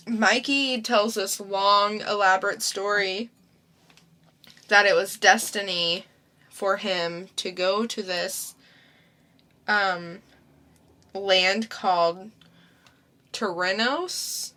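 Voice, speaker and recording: Slow-talking bright-voiced young woman. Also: mid-range voice, steady tone. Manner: laid-back storyteller recapping a plot. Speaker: podcast co-host. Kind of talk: casual podcast chat.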